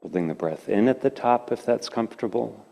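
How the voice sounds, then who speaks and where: A man leading a guided meditation as a teacher, speaking in gentle, slow phrases with long pauses. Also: lowish voice, gravelly. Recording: reverberant hall.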